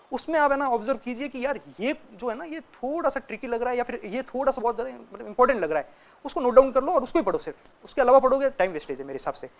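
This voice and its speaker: A young man, a student, giving casual, direct advice to other students and often checking in with them as he talks. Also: very high-pitched. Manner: chatty and direct, keeps checking in with listeners. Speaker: student sharing exam tips.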